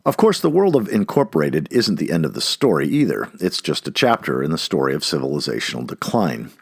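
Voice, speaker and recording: Man, deep voice, highly varied intonation, emphatic essay reading, dry close sound. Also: very animated, fairly quick, creaky, slightly rough, dry recording. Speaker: audiobook-style essay reader. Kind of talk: expressive read-aloud of an essay.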